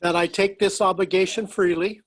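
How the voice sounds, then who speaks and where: A man with a rather high voice reads out an oath of office line by line. His voice is giving out, and he talks in a halting, apologetic way over a home microphone on a video call. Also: level tone, a bit bright. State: losing his voice. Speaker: board member leading the oath. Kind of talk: reading an oath aloud.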